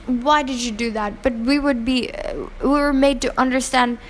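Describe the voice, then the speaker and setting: Opinionated woman from Pakistan, slightly high voice. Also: fairly even intonation, moderate pace, slight background noise. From Pakistan, raised in Hong Kong. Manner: earnest, opinionated, many fillers and restarts. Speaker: interviewee. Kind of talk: casual interview answers.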